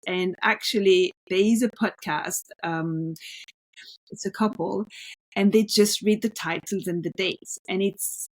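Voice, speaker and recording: A woman co-hosting a relaxed conversation, her voice sitting at an ordinary middle pitch. She explains things at length, often pausing on "uh" and "um" and repeating words as she searches for the next phrase. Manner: explanatory, many fillers and restarts. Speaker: podcast co-host. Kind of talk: casual conversation about art.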